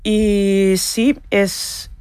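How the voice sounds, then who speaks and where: A woman's voice: mid-range voice, level tone, very slow, a little mellow, clear, resonant tone, dry recording. A woman from Cuba with a Cuban accent, giving casual, slightly hesitant interview answers as a musician. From Holguín, Cuba. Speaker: musician, singer, interview guest.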